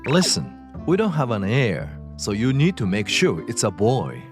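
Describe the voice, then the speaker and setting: An actor playing a selfish, demanding middle-aged husband in a dubbed comic story, dismissive and entitled, with music behind him. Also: male voice, on the low side, huge pitch swings, a little slow.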